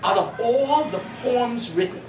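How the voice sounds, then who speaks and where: A man's voice: very high-pitched, unhurried, music in the background. Bahamian-born man sharing his testimony. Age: 30s. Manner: earnest storytelling, rising to animated emphasis. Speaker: author and pastor. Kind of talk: personal testimony at a bookstore event. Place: coffee cafe in a shopping mall. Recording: roomy, distant, noisy cafe recording.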